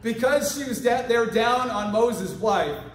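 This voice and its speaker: A man preaching a lively sermon, swinging from quiet asides to shouted exhortation and acting out quoted voices. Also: extremely high pitch, a little slow, crowd noise. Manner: acts out quoted voices for laughs. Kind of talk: lively sermon with congregation responses. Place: large church hall. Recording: echoey hall, far from mic, congregation. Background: congregation responding.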